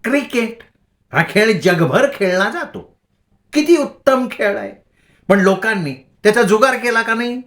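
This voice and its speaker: A man voicing an eccentric middle-aged village woman who lectures boys on gambling in a slow, sing-song, theatrical way. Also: high-pitched, expressive, a bit bright, voice pushed up, full character voice. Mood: preachy, cackling.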